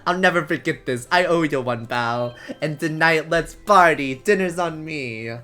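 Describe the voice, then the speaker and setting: A streamer puts on a boisterous male detective in a visual novel. He is big-hearted and exuberant. Every line comes out loud, bright and emphatic. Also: mid-range voice, big pitch swings, measured pace, faint music behind. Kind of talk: visual novel character dub.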